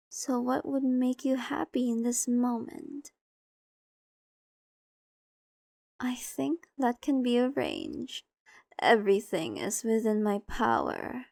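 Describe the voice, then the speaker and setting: A woman's voice: on the high side, vocal fry, a little mellow, dry recording, studio quality, heavily acted. A woman playing a seductive, possessive, ancient vampire mistress in an ASMR roleplay, coaxing and condescending, sometimes slipping into whispers. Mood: dominant, pleased. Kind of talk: ASMR roleplay acting, addressed to the listener.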